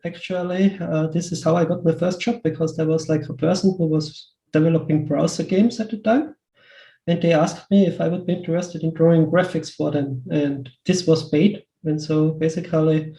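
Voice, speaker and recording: A man's voice: fairly high pitch, dark tone. A man with an Austrian accent talking casually as an interview guest, circling back over words and peppering his sentences with asides. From Western Austria. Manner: hesitant, looping delivery with repeated words and many "like" asides. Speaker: interview guest, pixel artist. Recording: roomy, echoey sound.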